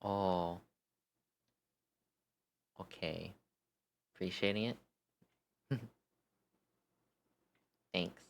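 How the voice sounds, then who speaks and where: Low-voiced man chatting casually on stream. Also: fairly even intonation, very slow, vocal fry, long pauses. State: tired. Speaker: livestream host. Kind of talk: casual livestream chat.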